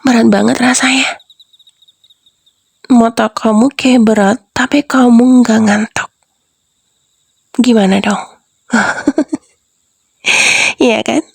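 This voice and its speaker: A woman's voice: on the high side, fairly even intonation, slow, pauses often, dry recording, full character voice. A woman voicing a sinister young wife who whispers and giggles as she taunts the husband she has drugged. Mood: mocking, teasing. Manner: soft, teasing, breathy whispers with giggles. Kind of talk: whispered roleplay audio drama.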